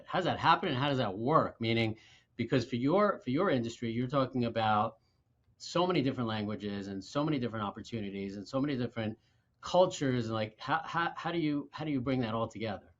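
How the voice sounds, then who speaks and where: A podcast host from Brooklyn with a medium-pitched male voice, chatty and enthusiastic, poking fun at himself as he sets up questions. The audio is muffled and lo-fi. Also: lively intonation, fairly quick, a bit bright. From Brooklyn, New York. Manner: chatty, self-deprecating, enthusiastic. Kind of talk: interview host. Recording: dull lo-fi audio.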